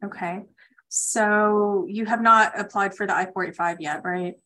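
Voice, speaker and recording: A woman's voice: mid-range voice, fairly even intonation, measured pace, pushed and bright, poor audio. Direct woman advising callers on a call. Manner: direct, explanatory. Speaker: immigration attorney hosting a call-in session. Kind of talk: conversational legal advice to callers. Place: conference call.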